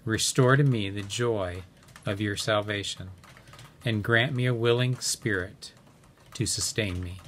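A middle-aged man reads scripture solemnly at a slow, deliberate pace. He pauses between short phrases, and there is faint noise in the room around him. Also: lowish voice, a bit bright. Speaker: scripture reader at a worship gathering. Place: dim living room by a window.

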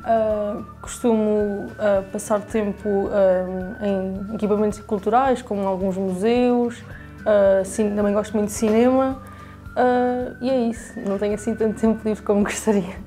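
A woman's voice: mid-range voice, steady tone, unhurried, warm tone, faint music behind. An eighteen-year-old woman with a European Portuguese accent, answering interview questions hesitantly with many fillers into a lapel mic. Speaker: young interviewee. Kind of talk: testimonial interview. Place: dark indoor studio.

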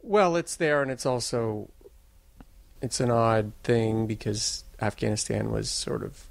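A man from the United States answering interview questions in measured, thoughtful sentences as a filmmaker guest. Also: medium pitch, gravelly, no echo.

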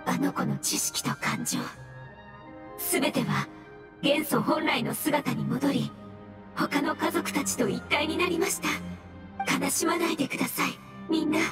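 A woman playing a gentle, wistful mushroom spirit in a video game, speaking politely, softly and solemnly. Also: high voice, unhurried, pauses often. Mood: serene, consoling. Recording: distant, echoey, music behind.

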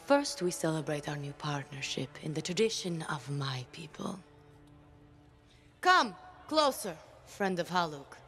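A woman voicing a regal, menacing crime lord in a video game, formal and deliberate. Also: low-pitched, big pitch swings, a bit bright, faint music behind, exaggerated voice. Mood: coolly commanding. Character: imperious, menacing female crime lord princess.

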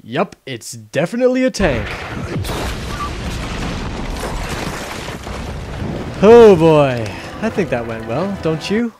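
A man's voice: a little high, sing-song, moderate pace, over music. A man voicing a cocky, callous young adventurer with a glib, sarcastic manner in a parody game dub. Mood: smug.

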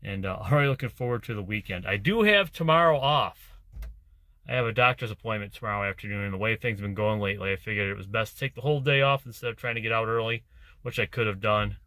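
A man in his forties, a truck driver vlogging casually to camera from his cab, talking in a relaxed, rambling way with plenty of "uh" fillers. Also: fairly low pitch, fast, no room sound. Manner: easygoing, rambling, with many "uh" fillers. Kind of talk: casual vlog chat to camera. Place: inside a truck cab and outdoors in winter. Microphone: handheld or dash camera at arm's length.

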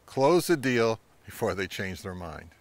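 A middle-aged man giving advice to camera as a channel host, speaking in a measured, instructive way. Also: fairly low pitch, big pitch swings. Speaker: host of a vintage audio channel. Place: outdoors, among trees or on a porch.

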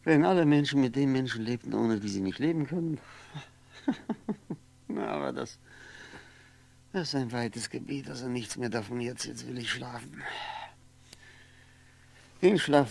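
A lonely man lost in his memories in a 1960s radio play. His medium-pitched voice crackles with fry. He muses haltingly, wry and wistful, repeating words and trailing off. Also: darkish tone. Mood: sardonic. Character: lonely drinking man, wistful, sardonic, brooding over memories.

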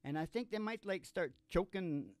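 A man's voice: sits high, lively intonation, moderate pace, no echo, clean studio sound. An older man hosting in casual, joking, folksy banter that rambles along at a stand microphone. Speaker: podcast host and musician. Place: indoor small curtained stage studio.

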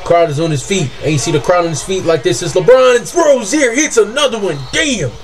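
A young man with a deep voice reacting casually to basketball highlights, hollering and trash-talking in slang from a small room on a desk mic. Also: brisk. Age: young adult. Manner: excitable, yelps and hollers, slangy trash talk. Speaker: sports reaction streamer. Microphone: webcam and desk mic.

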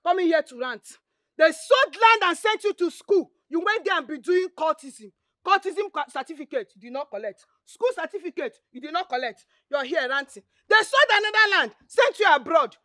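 Very shrill, scornful Nigerian woman. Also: lively intonation, moderate pace, pushed and bright, dry recording, studio quality, exaggerated voice. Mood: scornful, angry. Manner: scolding, insult-laden. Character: scornful, fierce woman defending her brother. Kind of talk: Nollywood film acting.

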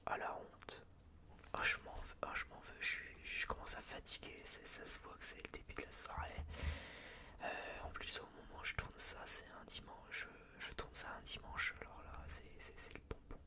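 A person with a French accent whispering in a breathy, unvoiced voice, chatting casually and haltingly in a rambling, self-deprecating relaxation vlog. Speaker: video creator reviewing film purchases.

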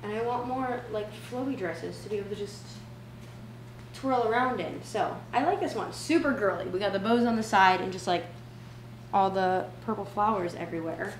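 A woman in her twenties talking casually to camera in a chatty, slangy way, as in a home vlog. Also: medium pitch. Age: 20s. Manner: chatty, informal, slangy asides. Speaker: vlogger. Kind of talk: casual vlog chat, try-on haul. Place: indoors, open-plan home kitchen and living area. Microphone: camera mic a few feet away. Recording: roomy echo with noticeable background noise.

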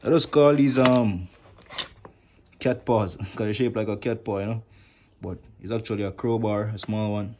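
A man with a fairly low voice talks through his work tools in an easy, plain way, with lots of "like" and "right here." He is recorded indoors on a phone held close to the bag. Faint clicks and handling noise sit under his voice. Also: normal speed. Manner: relaxed, plain talk with many "like" and "right here". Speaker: HVAC technician showing his tools. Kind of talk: casual show-and-tell vlog. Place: indoors at home, wooden floor. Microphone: phone camera held close, filming his hands.

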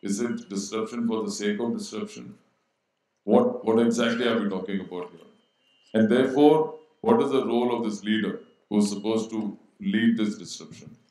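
A middle-aged man speaking on a panel into a handheld mic, measured and posing rhetorical questions to students. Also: lowish voice, fairly even intonation, warm tone. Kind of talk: panel discussion. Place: large auditorium stage. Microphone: handheld microphone. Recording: very echoey hall.

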